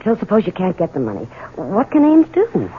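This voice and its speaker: A woman's voice: on the low side, wildly swooping pitch, a little fast, phone-quality sound. A woman playing a worried, supportive wife and mother in a 1970s radio drama. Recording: thin, noisy old radio broadcast.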